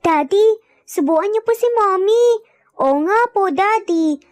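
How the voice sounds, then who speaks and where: Little child, very high voice, eager, playful, insistent, close dry sound, audio story with music behind. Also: female voice, animated, unhurried, no echo. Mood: playful, teasing. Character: excited young triplet children pleading with parents.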